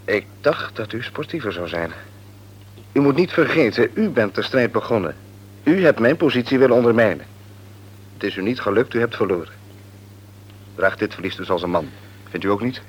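An actor playing a smug, condescending office rival in a radio play, talking in a formal, patronising way. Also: male voice, fairly low pitch, lively intonation, moderate pace, pauses often, husky. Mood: gloating. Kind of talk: Dutch radio play acting. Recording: old radio recording, faint hum and noise.

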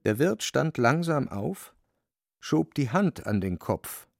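Male narrator for literary radio drama: gravelly, mid-pitched, close dry studio sound. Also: sing-song, takes it slowly, no room sound.

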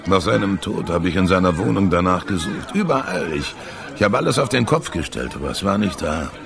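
An actor playing a boozy, wistful male barfly in a German radio drama, rambling and musing in a gravelly voice. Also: sits low, sing-song, fairly quick, heavily acted. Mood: musing, resentful. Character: boozy, wistful male barfly, slyly sociable jazz fan. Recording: bar crowd murmur behind.

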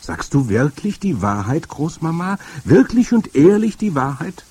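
A man's voice: on the high side, big pitch swings, a little slow, a little mellow, husky, no room sound, pitched above the performer's own voice, exaggerated voice. A man voicing a seven-year-old boy who anxiously asks his grandmother whether her stories are true. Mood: pleading. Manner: pleading, eager questioning.

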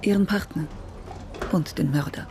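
An actress playing a tough, insistent prosecutor in a radio crime drama, firm and businesslike. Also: female voice, low voice, normal speed, music in the background, lightly acted. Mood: hard bargaining.

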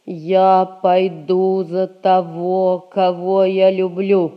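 Headstrong young girl in love, old stage comedy, slow stubborn delivery, bit muffled audio. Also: on the low side, little pitch movement. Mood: defiant, resolute. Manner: deliberate, emphatic, stubborn delivery. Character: headstrong, lovelorn young merchant's granddaughter, defiant. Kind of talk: Russian stage comedy recorded as radio play.

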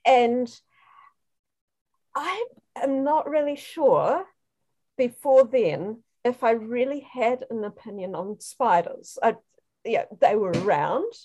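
Upbeat woman with a New Zealand accent and a fairly high voice, guest on a video call interview. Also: animated, takes it slowly, long pauses, a little mellow. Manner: enthusiastic storyteller. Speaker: guest researcher.

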